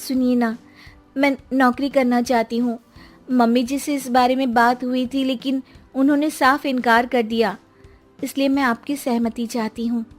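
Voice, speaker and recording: Meek young housewife played by a woman, very high voice, pleading and deferential, story drama with faint music. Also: level tone, moderate pace, close to an everyday voice. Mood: timid, pleading. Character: meek, earnest young housewife daughter-in-law. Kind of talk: melodramatic Hindi moral story acting.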